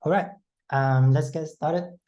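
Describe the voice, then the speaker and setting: A man's voice: fairly high pitch, fairly even intonation, dark tone. Nervous man. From Vietnam. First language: Vietnamese. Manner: nervous, with halting non-native phrasing. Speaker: educator, fellowship presenter. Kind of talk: online presentation. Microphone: video call.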